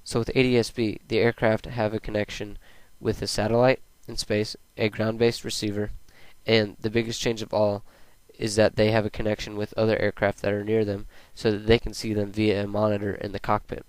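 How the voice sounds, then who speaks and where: A young man narrates a scripted student presentation in a plain, read-aloud way. His voice is smooth and clean, with very little rise and fall. The sound is dry, with no room echo. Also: lowish voice, completely flat delivery, a little fast, hardly any pauses.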